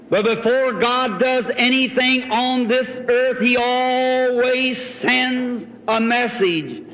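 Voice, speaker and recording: A man preaches a revival sermon as a Pentecostal preacher, in a folksy, exhorting, exclamatory manner. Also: extremely high pitch. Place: large hall, speaking from a platform. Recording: dull, noisy old hall recording, long reverb.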